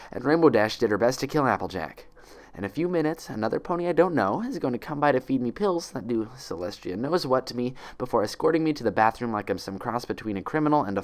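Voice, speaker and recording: A man's voice: mid-range voice, natural voice. A man voicing a young female unicorn patient in an audiobook-style story, dry, sarcastic and anxious. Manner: dry, sarcastic, self-pitying.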